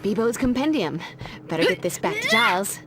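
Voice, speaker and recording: An American actress playing a quippy, plucky young vampire slayer in a video game, breezy and flippant. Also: female voice, fairly high pitch, sing-song, brisk, loud music behind the voice. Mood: wry, flippant. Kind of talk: video game cutscene acting.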